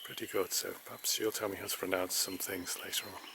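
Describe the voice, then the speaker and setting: Male vlogger, rambling and polite, outdoors on a partly taped camera mic with clear street noise. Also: deep voice, fairly even intonation, quick pace. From Wales. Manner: rambling, many hesitations, chatty and polite. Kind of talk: casual vlog narration. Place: outdoors by a road and in a country park. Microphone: handheld camera mic, partly taped over. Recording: outdoor camera audio with traffic and wind noise.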